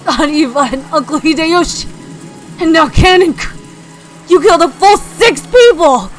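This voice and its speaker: A woman's voice: very high-pitched, animated, measured pace, soft background music, voice pushed up. A woman voicing a young man screaming in furious grief, near tears. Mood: raging grief.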